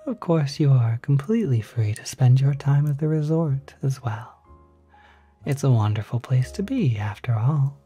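An ASMR performer of unclear sex playing a courteous demon hotel concierge, speaking softly and politely, close to the microphone, often near a whisper. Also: medium pitch, lively intonation, soft background music, lightly acted. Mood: helpful, reassuring. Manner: polite, unhurried, gently salesmanlike. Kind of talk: ASMR roleplay.

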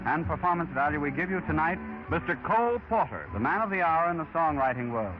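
Male 1930s radio announcer, formal and declamatory, slightly high voice, old muffled recording over music. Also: lively intonation, quick pace.

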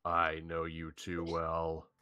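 A man's voice: sits low, completely flat delivery, slow, sharp tone, clear, resonant tone, exaggerated voice. A man reading the part of an earnest, worried young man confronting a friend in a visual novel. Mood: concerned. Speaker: amateur reader of a visual novel's lead. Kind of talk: staged reading with joking asides.

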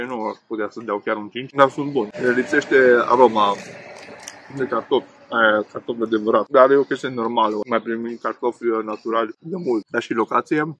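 A man's voice: mid-range voice, low-quality recording. Guy in his 30s talking while he eats outside, laid-back vlog chat, faint traffic hum. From Romania. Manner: relaxed, chatty, many fillers while eating. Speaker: food vlogger. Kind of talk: casual food review vlog. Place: outdoor table beside a main road. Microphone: camera on table in front. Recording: faint road noise outdoors. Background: passing traffic and outdoor ambience.

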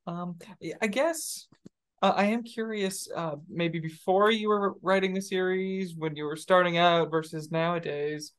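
A man's voice: high voice, fairly even intonation, unhurried, fairly bright tone, clean tone. A young man hosting an interview, asking questions hesitantly with many restarts, over a video call from a room with bookshelves. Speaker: interview host.

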